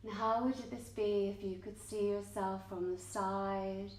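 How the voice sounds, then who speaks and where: Slow-paced woman guiding meditation, roomy echo. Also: medium pitch, flat delivery. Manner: gentle, measured, short phrases. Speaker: yoga nidra teacher. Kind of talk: guided meditation, slow soothing instruction with long pauses. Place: indoor yoga studio, live class. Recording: roomy, echoing yoga studio.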